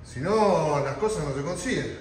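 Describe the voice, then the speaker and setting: A man's voice: on the high side, expressive, a little fast. A man in his twenties with an Argentine Spanish accent, chatting playfully in a gym as a vlogger. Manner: playful, slangy, talks while posing. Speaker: vlogger, bodybuilder. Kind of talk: casual vlog chat. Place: large indoor gym. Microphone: camera on a stand, from a distance. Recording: echoey gym from far off, background noise.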